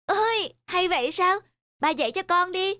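A woman voicing a curious, eager young turtle son in an animated fairy tale. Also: very high-pitched, moderate pace, no room sound, exaggerated voice.

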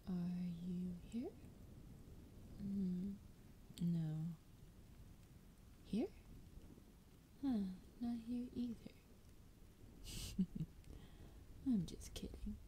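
A sultry female dragon, played by a woman, speaks in a breathy, extremely slow whisper for a fantasy roleplay. Her tone is very dark and soft, teasing with an edge of menace. There is some background noise around the voice. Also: medium pitch, poor audio. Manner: slow, teasing, drawn-out delivery.

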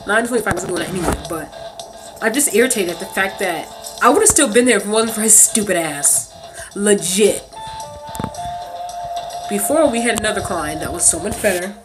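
Casting: woman, 30s, medium-pitched voice, casual vlog rant, emphatic and aggrieved, slangy delivery. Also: expressive, moderate pace, long pauses, music in the background, rough recording. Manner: indignant, emphatic, slangy venting with mimicked quotes. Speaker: vlogger. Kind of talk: casual storytelling rant to camera. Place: small bedroom, indoors. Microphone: phone at arm's length.

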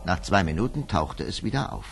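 A man narrating a children's fairy tale from a script in a dramatic, measured storytelling manner. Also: low-pitched, big pitch swings, creaky, loud music behind the voice.

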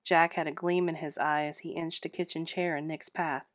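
A woman's voice: low voice, flat delivery, normal speed, no echo, studio quality. A woman narrator giving a scripted read-aloud of a story in audiobook style.

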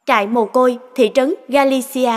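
A woman's voice: high-pitched, steady tone, moderate pace, lightly acted. A woman reading scripted narration and announcements for a cartoon, declarative and dramatic. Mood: announcing. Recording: music behind the voice.

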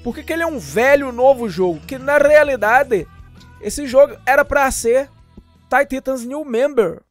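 A man's voice: very high-pitched, expressive, a little slow, no room sound. A Brazilian man giving a casual, slangy, jokey voice-over as host of a gaming channel. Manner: chatty, jokey, informal. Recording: voice-over with music behind.

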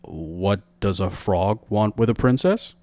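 Storybook king, played by a man, authoritative, stern, fatherly, measured delivery, dry recording. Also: on the low side, warm tone, no room sound. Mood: concerned, questioning. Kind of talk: storybook character voice.

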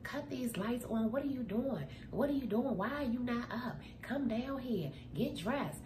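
Woman putting on a shrill bossy girlfriend. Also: animated, unhurried, reverberant, background noise. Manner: loud, high, insistent scolding. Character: bossy adult woman friend barging in.

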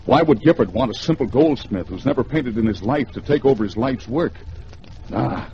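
A man with an American accent narrating a suspenseful 1950s radio drama in theatrical, measured phrases. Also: medium pitch, animated, warm tone. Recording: noisy, dull vintage radio recording.

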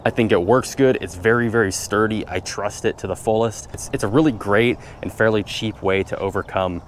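A man's voice: on the low side, brisk, slight background noise. A man in a casual how-to vlog, explaining his truck setup in a methodical, practical way, outdoors by his truck. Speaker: vlogger showing his truck build.

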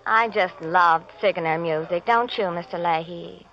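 A woman's voice: on the low side, a little slow, a bit bright, faint music behind. An actress playing a vain, dim young beauty queen who gushes and mangles big words, in 1940s radio style. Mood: vain, gushing. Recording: dull vintage radio recording.

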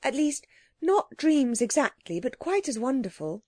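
An earnest young storybook girl, acted by a woman reader. Her voice sits high and moves about a lot, with a polite and eager manner. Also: very animated, unhurried, warm tone, no room sound, studio quality, exaggerated voice. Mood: eager, reassuring.

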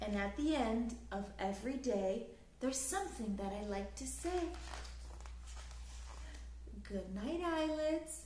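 A woman in her thirties reading a picture book aloud to young children in an expressive, sing-song way, recorded in a living room. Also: on the high side, slow pace, pauses often, reverberant, a little hiss or hum, rough recording. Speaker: children's story time host. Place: small living room, indoors on a couch.

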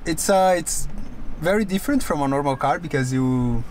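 A man in his thirties giving a casual camper van tour, speaking as a with a relaxed, halting manner and frequent restarts. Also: fairly high pitch, slight background noise. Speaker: vlog co-host. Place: inside and beside a camper van by the sea.

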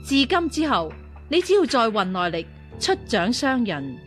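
An actress playing a stern, shrewd martial-arts nun of royal birth, measured and commanding, in a Cantonese radio drama. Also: female voice, on the high side, sing-song, soft background music. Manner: measured, authoritative.

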